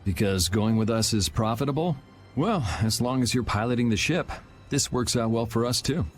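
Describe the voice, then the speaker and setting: A man's voice: mid-range voice, moderate pace, faint music behind, close to an everyday voice. A man playing a laid-back young swordsman in a video game, speaking in a dry, matter-of-fact way. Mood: calm, wry.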